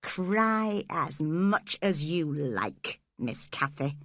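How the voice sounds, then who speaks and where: A menacing, scheming man, as a woman reading a storybook puts him on, low and unhurried, giving quiet threats and curt orders. The recording is dry and close. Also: big pitch swings, dry recording, heavily acted. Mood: coldly menacing.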